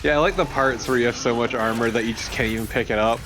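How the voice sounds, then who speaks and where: Male co-host, medium pitch, jokey and profane casual banter, clearly noisy game sound behind. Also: steady tone, fast talker. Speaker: let's play co-host. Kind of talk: casual banter over gameplay. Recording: noisy gameplay background. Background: video game sound.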